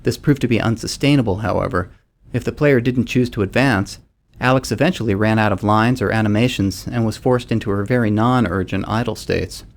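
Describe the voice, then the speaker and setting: A man's voice: on the low side, brisk. A man who is a game developer, delivering scripted explanatory commentary.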